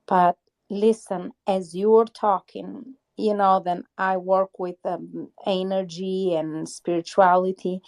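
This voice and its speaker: Woman hosting a spiritual chat room, effusive and uplifting, mid pitch. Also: little pitch movement, takes it slowly, vocal fry, darkish tone. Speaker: host of an audio chat room. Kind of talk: spiritual encouragement in casual chat. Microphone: phone or headset in an online audio room.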